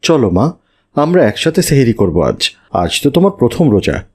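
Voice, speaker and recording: A woman's voice: very deep voice, big pitch swings, normal speed, dark tone, breathy edge, exaggerated voice. A woman voicing a caring father of a small child in a children's moral cartoon. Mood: warm, encouraging.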